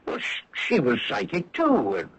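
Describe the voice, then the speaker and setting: A man's voice: fairly high pitch, huge pitch swings, normal speed, vocal fry, a bit bright, slightly rough, exaggerated voice. An actor playing a defensive, flustered male official who stammers indignantly, in 1970s radio mystery style. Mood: incredulous. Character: defensive, flustered career-minded male official under pressure. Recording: old radio broadcast, faint hiss.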